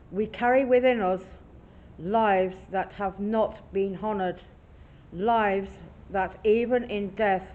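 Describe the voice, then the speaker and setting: A woman giving a solemn dramatic reading, measured and deliberate, with pauses between phrases. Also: medium pitch. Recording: muffled, faintly noisy recording.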